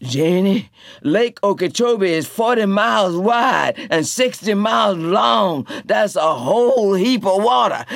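An urgent young man, as a male reader voices him, drives his friends onward with commands. His voice is raised and forceful, with a gravelly rasp. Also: sits high, dry recording, studio quality, pitched above the performer's own voice, exaggerated voice. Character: urgent young man leading others from a flood.

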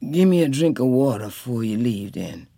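A woman voicing a sick young man with a gravelly, drawling voice. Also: very deep voice, big pitch swings, moderate pace, mellow, no echo, heavily acted. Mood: weak. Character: easygoing young Southern man, falling sick.

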